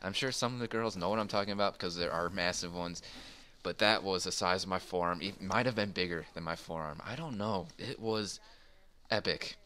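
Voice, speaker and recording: Young man with a bright, forceful voice. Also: low-pitched, a little fast. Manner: amused, chuckling, informal and crude. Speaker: storyteller in a gaming video. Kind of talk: casual rambling personal storytelling. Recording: faint background noise.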